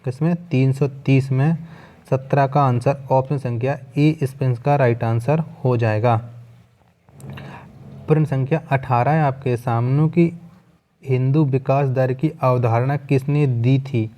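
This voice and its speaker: Mellow-voiced man teaching exam quiz. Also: mid-range voice, steady tone, measured pace. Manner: repeats questions and answers, instructional. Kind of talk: quiz lesson, reading questions and answers.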